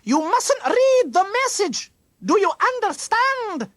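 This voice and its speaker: A narrator voicing a stern, alarmed woman in a children's story, shrill and commanding. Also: very animated, slow pace, no echo, full character voice.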